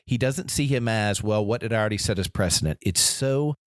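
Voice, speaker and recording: A man's voice: lowish voice, brisk, dry recording, studio quality. A man co-hosting a casual faith podcast, talking earnestly with frequent restarts and quoted imagined voices. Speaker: podcast co-host.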